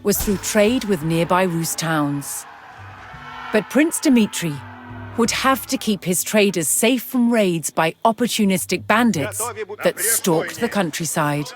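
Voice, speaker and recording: A woman delivering scripted historical narration for a strategy game, in a measured storytelling manner. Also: medium pitch, animated. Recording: music behind the voice. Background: background music.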